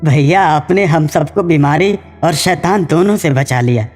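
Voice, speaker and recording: Guy doing an older village uncle, superstitious n earnest, kinda high voice, big echo. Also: measured pace, darkish tone, soft background music. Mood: grateful. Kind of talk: cartoon story acting. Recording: long reverberant hall echo.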